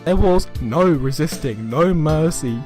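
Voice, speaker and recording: Male reader, fairly high voice, comic dramatic storytelling, mock-serious delivery, stretched phrases, stumbles, music behind. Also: animated, measured pace, darkish tone. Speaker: reader of amateur fan fiction.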